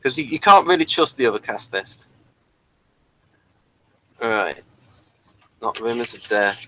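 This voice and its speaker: Bright-voiced man, halting fan chat. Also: mid-range voice, animated, low-quality recording. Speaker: podcast co-host reviewing a TV series. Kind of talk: casual fan discussion.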